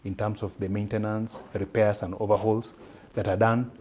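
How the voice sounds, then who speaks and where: A man with a Kenyan accent explaining policy as an interview guest, measured and halting as he searches for words. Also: lowish voice, flat delivery, darkish tone, slight background noise.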